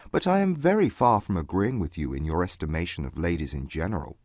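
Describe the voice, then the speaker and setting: Proud, grave young gentleman male actor, reserved and formal, husky, dry close sound, period audiobook. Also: fairly low pitch, no echo. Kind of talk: dramatized audiobook acting.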